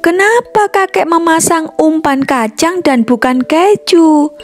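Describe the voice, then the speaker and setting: A woman's voice: extremely high pitch, slow pace, pitched above the performer's own voice. A woman voicing a curious, eager young grandchild in a children's storybook. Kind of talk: storybook character voice. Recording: music behind the voice.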